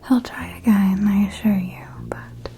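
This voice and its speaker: A woman playing an elegant, knowing vampire mentor in an ASMR roleplay, in a soft, breathy, genteel whisper. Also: medium pitch, fairly even intonation, slight background noise, close to an everyday voice. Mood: cautioning, proud. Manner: slow, deliberate, genteel, intimate. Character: elegant female vampire mentor, possessive, knowing, quietly proud.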